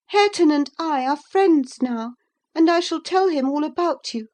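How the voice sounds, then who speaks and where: A woman's voice: animated, normal speed, darkish tone. Woman playing a defiant, reckless young woman. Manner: bold, high-pitched, taunting.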